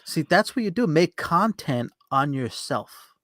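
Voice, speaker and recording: A man's voice: a little high, sing-song, unhurried, bright, forceful tone, no echo, clean studio sound. A man co-hosting a podcast with casual banter, encouraging and given to repeating short phrases. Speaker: podcast co-host.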